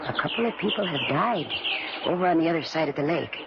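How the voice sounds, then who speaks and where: Man doing old country neighbor lady, gossipy and halting, highish voice, muffled old radio horror show. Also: expressive. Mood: ominous gossip. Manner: halting, folksy, dragging out the news. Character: gossipy rustic older woman, folksy lakeside neighbor. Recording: noisy, dull old radio recording.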